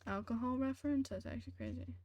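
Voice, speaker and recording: A woman's voice: high voice, fast, a little mellow. A woman game streamer chatting casually on a livestream, talking to herself in short blunt questions and reactions. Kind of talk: casual livestream chat over gameplay. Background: game music.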